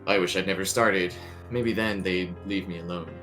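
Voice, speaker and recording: Low-voiced man reading over guitar music. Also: steady tone, normal speed, fairly bright tone. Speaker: story reader. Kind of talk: narrating a creepy story aloud. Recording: music playing under the voice.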